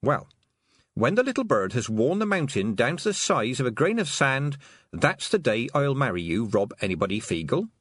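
A man voicing a stubborn, sharp-witted young girl who speaks indignantly. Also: medium pitch, big pitch swings, normal speed, breathy edge, dry recording, clean studio sound. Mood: sly. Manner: indignant, determined.

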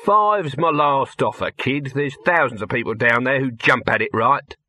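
A man voicing a fast-talking, wheedling showman boss in an audiobook, with clipped salesman patter and a pushy haggling manner. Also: mid-range voice, sharp tone, no echo. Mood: amused haggling. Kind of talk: audiobook character voice.

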